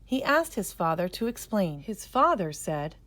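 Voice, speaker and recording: A woman storyteller narrating a scripted children's story in a careful, expressive way. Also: medium pitch, moderate pace.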